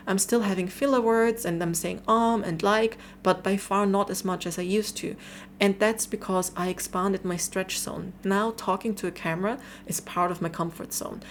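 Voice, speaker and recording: A woman's voice: medium pitch, a little hiss or hum. A woman from Austria in her thirties talking candidly to camera in a motivational vlog, chatty and casual with some fillers. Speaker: vlogger.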